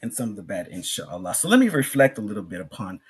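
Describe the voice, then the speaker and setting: A man giving an Islamic sermon as a public address, speaking emphatically in short phrases with pauses, repetition and rhetorical questions. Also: fairly low pitch, sing-song, breathy edge. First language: English. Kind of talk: sermon, public religious lecture.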